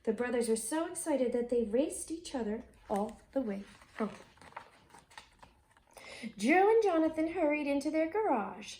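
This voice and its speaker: A woman's voice: high voice, animated, unhurried, long pauses, a little mellow, a little hiss or hum, low-quality recording. A woman with an American accent reading a picture book aloud and talking directly to children as the host. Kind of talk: expressive picture-book read-aloud with chatty asides.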